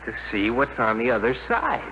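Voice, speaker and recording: An actor playing a curt, hurried, impatient rocket technician in a 1950s radio drama, on an old broadcast recording. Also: male voice, mid-range voice, sing-song, dull audio, lightly acted. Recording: noisy 1950 radio broadcast.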